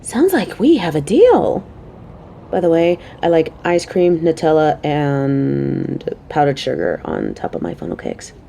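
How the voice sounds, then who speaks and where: Woman acting a playful, motherly coworker with mellow voice. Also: sits low, sing-song, measured pace, vocal fry, a little hiss or hum, exaggerated voice. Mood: flirty teasing.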